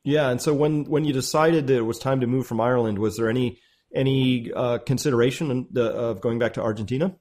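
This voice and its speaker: A male radio interviewer with a mid-pitched voice who asks probing questions carefully, pausing and restarting with small fillers as he works toward each point. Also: steady tone, a little mellow.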